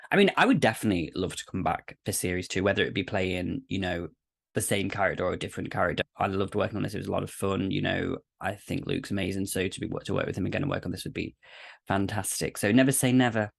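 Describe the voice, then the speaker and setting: A man's voice: low voice, fast, creaky, slightly rough, dry recording, clean studio sound. A man who podcasts and acts, giving casual, chatty interview answers. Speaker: cast member, podcaster.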